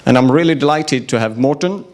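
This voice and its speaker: A man speaks as an event host making an informal introduction. His voice sits at an average pitch, and he sounds a little hesitant as he looks for words. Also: brisk.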